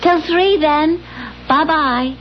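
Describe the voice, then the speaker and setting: An actress playing an earnest, coaxing, insistent wife in a 1970s radio drama. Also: female voice, high voice, takes it slowly. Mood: nervous, polite. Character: eager, insistent, polite young wife. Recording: dull, noisy old radio broadcast recording.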